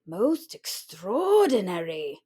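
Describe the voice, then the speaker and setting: Storybook family doctor, male, female reader: fussy, formal, baffled, emphatic, very animated intonation. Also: mid-range voice, slow pace, breathy edge, heavily acted. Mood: astonished. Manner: exclaiming, rattles off lists emphatically. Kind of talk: children's storybook read-aloud.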